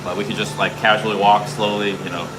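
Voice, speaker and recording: A man livestreaming on a city street, talking casually with a loud, carrying voice and lots of fillers and restarts. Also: fairly low pitch, little pitch movement, fast talker. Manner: rambling, many fillers and restarts. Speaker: livestream host. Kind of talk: casual chat while streaming. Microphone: streaming camera rig. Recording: clear street noise.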